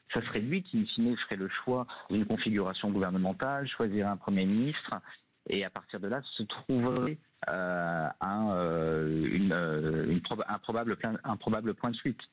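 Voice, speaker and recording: A French man gives live political analysis as a radio guest, with a fairly low voice. He often doubles back on his words as he builds an argument. The sound is dry and narrow, almost like a phone line. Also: dry recording. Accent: French from France. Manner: hesitant starts with repeated words. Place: radio studio.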